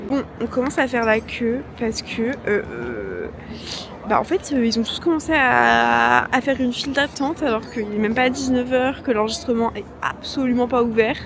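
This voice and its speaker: A woman's voice: fairly high pitch, lively intonation, normal speed, a crowd in the background. A young woman travel vlogger chatting casually to camera in an airport, joking and slangy, tired from the trip, with lots of fillers. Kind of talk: casual vlog chat to camera. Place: airport, noisy public areas. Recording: noisy ambience behind.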